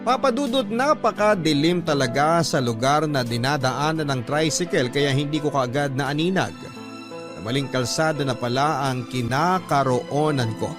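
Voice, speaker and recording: A man's voice: a little high, expressive, moderate pace, close to an everyday voice. A Filipino man narrating a letter dramatically in the first person, in radio drama style. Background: music bed.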